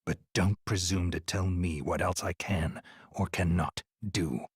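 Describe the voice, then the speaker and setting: Very husky, gruff demon hunter. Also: male voice, low-pitched, fairly even intonation, a little slow, dry recording, studio quality, heavily acted. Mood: cold, threatening. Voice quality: gravelly, rough. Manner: curt, menacing. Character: gruff, scornful male demon hunter from the east. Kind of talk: video game voice acting.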